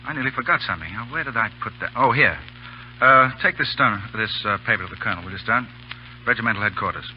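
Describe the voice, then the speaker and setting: An actor in an old radio drama playing a gruff, wry, soft-hearted veteran cavalry lieutenant who talks bluntly. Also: male voice, lowish voice, expressive, fast talker, slight background noise, close to an everyday voice. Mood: matter-of-fact. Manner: sardonic, blunt, breezy. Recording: muffled, humming old radio broadcast.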